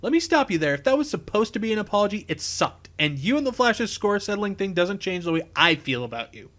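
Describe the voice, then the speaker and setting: Man voicing an indignant, high-pitched young tech whiz. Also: big pitch swings, quick pace, fairly bright tone. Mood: offended. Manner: emphatic, exasperated, sarcastic.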